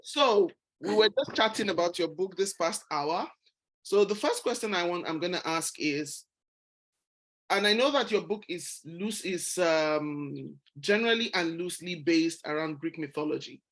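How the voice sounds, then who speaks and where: A woman founder hosting a book club over a video call, speaking in a friendly, relaxed way. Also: lowish voice, moderate pace.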